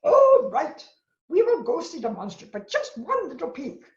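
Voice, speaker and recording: Woman voicing a high, frantic male storybook monster. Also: huge pitch swings, moderate pace. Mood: nervous bravado. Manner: melodramatic, pleading. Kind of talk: animated children's read-aloud. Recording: roomy, dull lo-fi sound.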